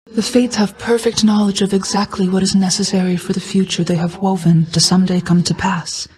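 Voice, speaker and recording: A woman voicing an ancient, stately goddess of night in a video game, a stern but caring mother who speaks in a formal, measured, regal way. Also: mid-range voice, level tone, a little mellow, natural voice, poor audio. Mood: solemn, knowing.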